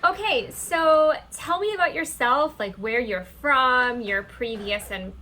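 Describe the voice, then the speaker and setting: A woman's voice: high voice, lively intonation, measured pace, pushed and bright, a little hiss or hum. A woman hosting a casual interview, chatty and rambling with fillers. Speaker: host, YouTuber.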